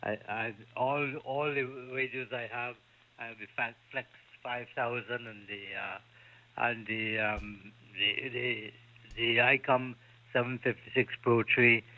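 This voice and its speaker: A man from Ontario calling into a ham radio chat, with a squeaky voice and halting, repetitive speech. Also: level tone. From Ontario, Canada. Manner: halting, repeats words. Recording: tinny radio transmission.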